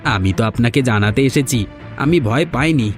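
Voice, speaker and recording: A man acting as the village boss's henchman in a cartoon folk tale. He speaks at an average male pitch in an officious, aggrieved, self-justifying way, over faint music. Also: expressive, moderate pace, lightly acted. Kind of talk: Bengali animated folk-tale dialogue.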